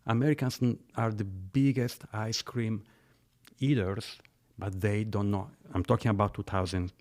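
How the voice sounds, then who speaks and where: A man's voice: fairly low pitch, steady tone, normal speed, a little mellow. A middle-aged man from Italy with an Italian accent, speaking as an interview guest about his family business in earnest, slightly non-native phrasing. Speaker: guest, gelato business owner. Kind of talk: interview conversation.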